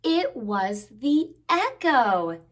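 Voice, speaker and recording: A woman voicing a wise old male beaver, gently explaining things in a measured storybook manner. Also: high-pitched, big pitch swings, exaggerated voice. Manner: measured, instructive storybook delivery.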